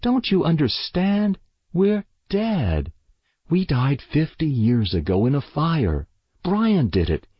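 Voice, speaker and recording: A man's voice: a little high, wildly swooping pitch, slow pace, slightly rough, dry recording, dull audio, voice pushed up, full character voice. A man voicing a desperate, haunted girl in a story reading, pleading and accusing with intensity. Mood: anguished. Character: desperate haunted girl insisting her family are dead.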